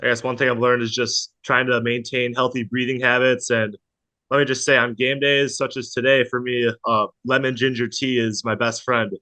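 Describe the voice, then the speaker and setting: Bright-voiced male sports broadcaster interviewing. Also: medium pitch, flat delivery, moderate pace, low-quality recording. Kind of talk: prepared, polished interview questions.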